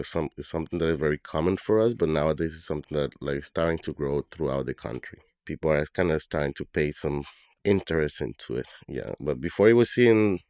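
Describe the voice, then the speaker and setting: A man's voice: very deep voice, a little mellow, dry recording, studio quality. A man from Colombia, a bartender guest, talking loosely and thinking aloud with many fillers. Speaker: guest, bartender and brand ambassador. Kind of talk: casual interview talk.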